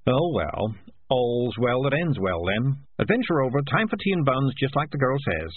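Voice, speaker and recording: A grumpy, world-weary talking cat played by a man in a fantasy storybook. He is sardonic and blunt, a little husky and very expressive, heard through a narrow radio-like band. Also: medium pitch, normal speed, no echo. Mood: grumbling, sardonic. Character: Morris, grumpy, cynical, streetwise talking tomcat.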